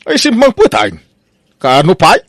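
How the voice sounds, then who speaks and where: A man's voice: high voice, huge pitch swings, unhurried, a bit bright, no room sound, studio quality, full character voice. A man with an Ilocano Filipino accent playing an exasperated, nosy father in a comedy radio drama, full of stammered exclamations. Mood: surprised, nosy.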